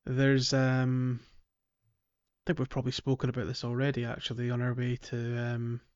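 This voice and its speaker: A man's voice: medium pitch, completely flat delivery, normal speed, a little mellow, smooth. Man chatting on a lo-fi gaming podcast. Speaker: podcast co-host. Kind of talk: casual video game podcast chat.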